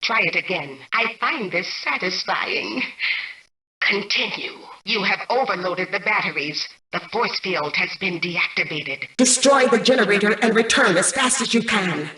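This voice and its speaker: An imperious computer intelligence for a video game, coldly menacing and formal. Her medium-pitched voice gives measured, robotic commands with a crisp, forceful edge. The sound is echoey and set back from the listener. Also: animated. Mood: cold, satisfied. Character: cold, imperious female mainframe AI, menacing and calculating. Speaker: game antagonist turned ally. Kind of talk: scripted video game voice acting. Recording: distant, echoey processed game audio.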